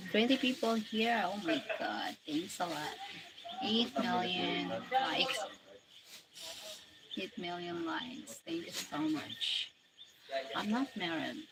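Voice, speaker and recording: A Filipino woman in her forties, chatting casually on a live stream, greeting viewers and thanking them one by one. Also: lowish voice. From Philippines. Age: 40s. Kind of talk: casual live chat, reading out viewer comments. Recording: loud background noise.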